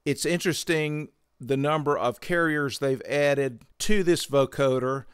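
Slow, smooth-voiced man presenting a tutorial. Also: medium pitch, dry recording, studio quality. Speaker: host of a synthesizer tutorial. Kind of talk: explanatory product tutorial narration.

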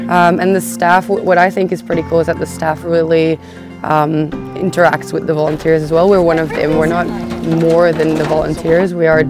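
Even, low-pitched young woman. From Belgium. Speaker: volunteer coordinator. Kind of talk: documentary interview. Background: music.

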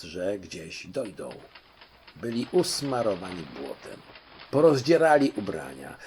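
An older man reads a children's story with expressive, dramatic flair. His voice is fairly low and gravelly, moving animatedly up and down in pitch. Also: slight background noise. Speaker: audiobook narrator. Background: music.

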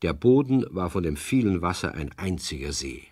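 A man's voice: low voice, very animated, moderate pace, a little mellow, husky, dry recording, studio quality. A man narrating a scripted children's story as the narrator of a radio play.

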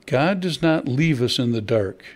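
A man's voice: mid-range voice, animated, measured pace. Older man, pastor, sermon, church hall pulpit, stand mic, rhetorical questions. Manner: conversational preaching with rhetorical questions.

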